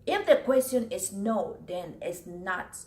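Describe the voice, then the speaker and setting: A woman's voice: mid-range voice, animated, takes it slowly, darkish tone. A woman from Cameroon, giving business tips to camera in an earnest way, repeating her points and working from notes. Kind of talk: casual talk to camera. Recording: roomy echo, faint background noise.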